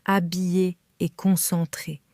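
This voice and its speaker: A woman reading a simple story aloud for beginner learners, calm and careful with clearly spaced phrases. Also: lowish voice, slow, dry recording, clean studio sound. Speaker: French reader for learners. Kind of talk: slow scripted reading for beginners.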